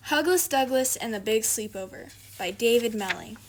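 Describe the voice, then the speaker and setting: A woman's voice: a little high, lively intonation, moderate pace, poor audio. A woman hosting a read-aloud, narrating a children's picture book. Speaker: storybook reader, video host.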